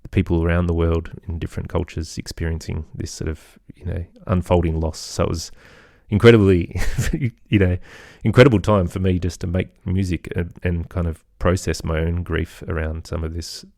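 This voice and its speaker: Man, Australian accent, very low voice, dry close sound, thoughtful, halting, conversational interview guest. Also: flat delivery, creaky, dark tone, no echo. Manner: thoughtful, halting, searching for words. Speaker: guest, composer and children's podcaster.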